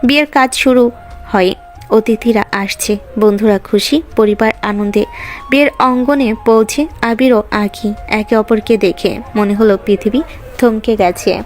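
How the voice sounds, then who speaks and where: A woman's voice: mid-range voice, steady tone, a little slow, faint music behind. A woman reading scripted narration for a romantic story.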